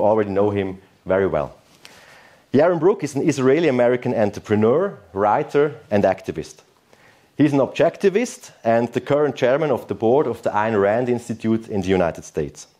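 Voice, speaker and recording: A man in his thirties introducing a guest speaker to an audience over a handheld microphone, formal and slightly halting. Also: mid-range voice, mellow. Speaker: event host. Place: lecture room.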